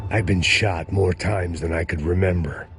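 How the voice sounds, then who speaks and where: An actor playing a world-weary middle-aged American ex-cop, bitter, sardonic and deadpan, in a hardboiled noir game. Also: male voice, sits low, normal speed, slight background noise, natural voice. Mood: grim, sardonic. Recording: music behind the voice.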